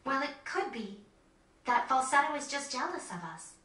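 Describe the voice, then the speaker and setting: An actress playing an earnest, soft-hearted young woman of a resistance group in a video game. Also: sits high, very animated, normal speed, exaggerated voice. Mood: concerned, questioning. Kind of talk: dramatic video game acting. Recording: roomy, distant, noisy screen capture.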